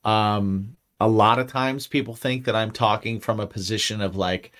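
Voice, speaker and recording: A man's voice: on the low side, fairly even intonation, moderate pace, fairly bright tone. A man co-hosting a podcast in casual chat, rambling and restarting his sentences and swearing freely. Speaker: podcast co-host.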